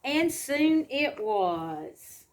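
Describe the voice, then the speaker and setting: A woman reads a picture book to young children. Her voice is high and her pace is slow. She breaks from the story to explain things in simple words and put questions to her listeners. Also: very animated, slight background noise. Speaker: children's educator reading a storybook aloud.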